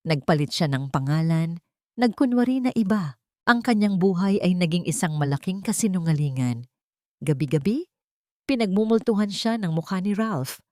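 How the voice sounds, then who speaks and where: A woman's voice: fairly low pitch, animated, normal speed, dark tone, no echo, studio quality. A woman reading a scripted dramatic story as an audiobook narrator.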